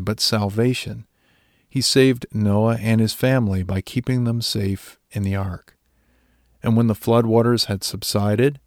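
A man delivers a scripted religious reflection with measured earnestness. His voice is a little on the low side, recorded dry with hardly any room sound. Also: darkish tone, no room sound. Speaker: devotional speaker.

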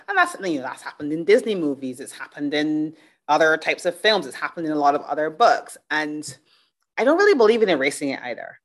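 A woman's voice: sits low, fairly bright tone. A middle-aged woman from Barbados hosting a podcast interview, chatting casually and thinking aloud with restarts. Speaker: podcast host.

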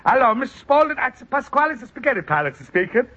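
An actor playing a boisterous, conniving middle-aged Italian immigrant restaurant owner in a radio sitcom, with a thick Italian accent and blustering broken English. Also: male voice, extremely high pitch, sing-song, fast talker, bright, forceful tone, exaggerated voice. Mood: ingratiating. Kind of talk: old-time radio sitcom acting. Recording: dull, noisy vintage radio recording.

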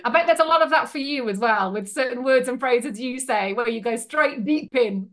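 A woman born in Yorkshire and raised in South Africa tells her story with an accent that blends both places. She speaks candidly and with animation, her voice sitting a little higher than average for a woman. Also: moderate pace. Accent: Yorkshire mixed with South African. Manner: open, animated, frank. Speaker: entrepreneur guest.

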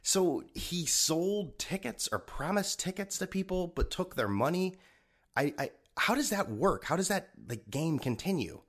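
A man's voice: high voice, big pitch swings, unhurried, fairly bright tone, slightly rough. A man hosting a solo podcast, talking in a sarcastic, rambling way with a slightly stuffed-up nose. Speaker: podcast host.

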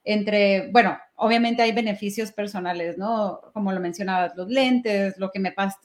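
A woman's voice: mid-range voice, normal speed. A young adult woman speaking as an interview host, conversational and engaged, often adding short tags that seek agreement.

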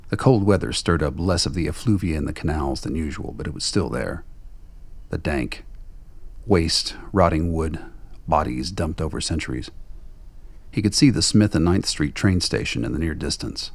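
A man's voice: very deep voice, gravelly, slightly rough. A man reading scripted radio play narration in a wry, sardonic manner. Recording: faint background noise.

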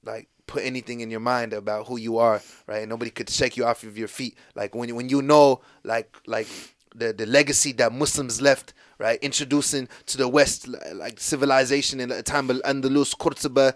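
A man raised in the United States, a podcast guest telling stories in a relaxed, casual way, full of fillers and restarts. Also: mid-range voice, brisk, hardly any pauses, dry recording, studio quality. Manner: laid-back storytelling with lots of fillers and restarts.

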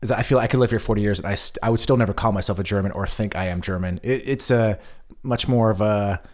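Casting: American man, podcast co-host, lowish voice, reflective and stammering. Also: fast, darkish tone. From United States. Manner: thoughtful, stammers over starts. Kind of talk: casual chat.

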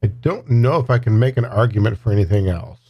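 A man's voice: on the low side, expressive, fast talker, slightly rough, no echo, muffled recording. Man in a dry room, playing tabletop. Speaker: tabletop game player. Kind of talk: casual in-game description and chat.

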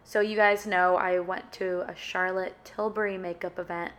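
A young woman with a sore throat and a slightly hoarse voice, hosting her podcast in a casual, friendly solo chat full of "uh" and "like". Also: on the low side, little pitch movement, normal speed, a bit bright. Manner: chatty and friendly, with many "uh" and "like" fillers. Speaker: podcast host.

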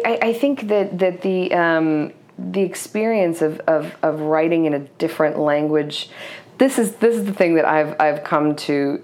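Woman with a low voice, non-native English speaker, hesitant, restarting often, reflective. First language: Serbo-Croatian. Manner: hesitant, many restarts and fillers; reflective, searching for words. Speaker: novelist guest. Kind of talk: interview conversation.

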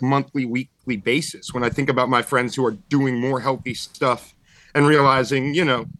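A man's voice: medium pitch, a bit bright. A man guesting on a podcast, talking thoughtfully and searching for words, with many fillers. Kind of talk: reflective conversational talk.

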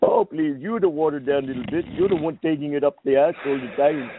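A man's voice: fairly high pitch, animated, brisk, slight background noise. Tinny phone-line man hurling insults. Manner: crude, taunting insults.

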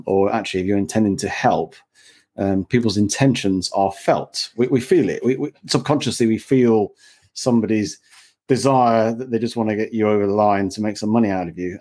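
A man's voice: fairly low pitch, a little mellow. A man hosting a podcast, musing in a reflective, casual way with some restarts. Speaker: podcast host. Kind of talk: casual interview chat.

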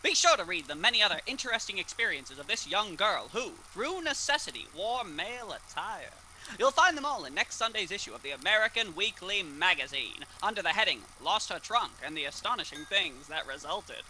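A man acts out a vintage radio pitchman with a high, extremely bright voice. The delivery is formal and salesy, swooping in pitch over faint noise. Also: very animated, a little fast, full character voice, low-quality recording. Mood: promotional. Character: brisk promotional old-time radio announcer. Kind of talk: scripted 1930s radio ad read.

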